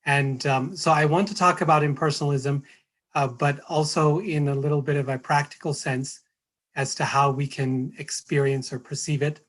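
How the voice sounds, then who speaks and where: A hoarse middle-aged American man giving a spiritual talk, hesitant, with many fillers and restarts. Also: a little high, steady tone, moderate pace, a bit bright. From United States. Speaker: guest speaker.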